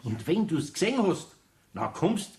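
An older Bavarian craftsman, patient and dryly teasing. His fairly high male voice moves in lively, homely dialect melodies. The radio play recording sounds roomy. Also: normal speed, background noise. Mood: patient, instructing. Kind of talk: German children's radio play acting, naturalistic dialect.

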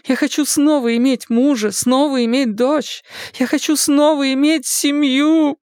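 A woman's voice: on the high side, animated, normal speed, a little mellow, no room sound, studio quality, close to an everyday voice. A woman voicing an anguished wife and mother in a dramatised audiobook, tender but insistent as she emotionally implores. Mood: pleading, anguished.

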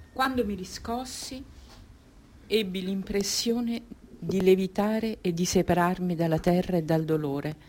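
An actress playing an anguished Somali woman reliving childhood in a radio drama monologue, halting and repeating words like an incantation. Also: on the low side, darkish tone, lightly acted.

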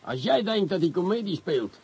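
A man playing a grumbling, sceptical police commissioner in a radio drama, husky and fussily exasperated. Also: high-pitched, very animated, normal speed, darkish tone, exaggerated voice, rough recording. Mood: argumentative. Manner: fussy, exasperated, hesitant. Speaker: actor.

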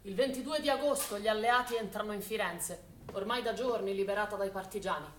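A woman's voice: mid-range voice, a little fast, natural voice. A woman acting as a wartime chronicler and village voice in a theatrical documentary style, emphatic and declarative. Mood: grave. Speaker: stage actress narrator. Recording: echoey stage, faint noise.

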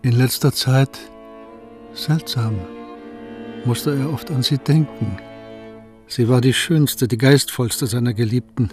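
A man narrating a radio play in a steady storytelling manner. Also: medium pitch, slow, pauses often, faint music behind. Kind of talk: scripted radio drama narration.

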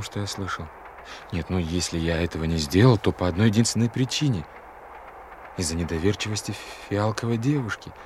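An actor playing an anxious, self-doubting young gentleman in a detective audio play, stammering, muttering and repeating himself. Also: on the low side, slight background noise, close to an everyday voice. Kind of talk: audio drama acting.

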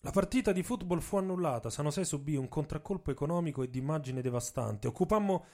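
A man's voice: a little high, fast talker, mellow, close to an everyday voice. A man playing a proud, indignant young American activist professor in a dramatic radio monologue, telling his story emphatically. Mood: defiant. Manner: emphatic storytelling in the first person. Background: music with instruments.

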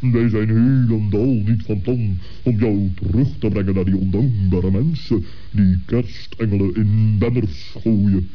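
A man's voice: fairly low pitch, steady tone, unhurried. A man voicing a pedantic, well-meaning owl in a wordy, ponderous manner for a children's radio play. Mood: supportive. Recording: dull old radio play recording.

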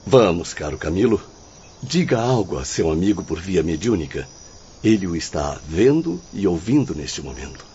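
An actor playing a courteous, urging spirit guide in a radio drama. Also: male voice, low voice, very animated, normal speed, dark tone, a little hiss or hum. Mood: gently urging. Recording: dull recording with night cricket ambience.

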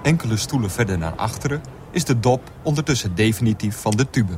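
A man's voice: fairly low pitch, expressive, fast talker, noisy background, lightly acted. An actor narrating a satirical radio play as a dry, formal male storyteller. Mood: dry, measured. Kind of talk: scripted narration.

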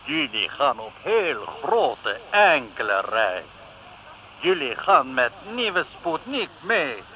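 An actor playing a gloating, preachy Soviet agent, declaiming slogans in broken grammar in a 1950s radio comedy-thriller. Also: male voice, mid-range voice, sing-song, takes it slowly, bright, forceful tone, heavily acted. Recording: noisy vintage radio recording.

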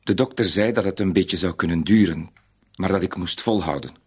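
A man's voice: low-pitched, brisk, darkish tone, slightly rough. An actor in a radio play, a man, playing an anxious, cornered middle-aged bank clerk who speaks hesitantly and pleadingly. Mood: meek, evasive. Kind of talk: Dutch-language radio drama acting.

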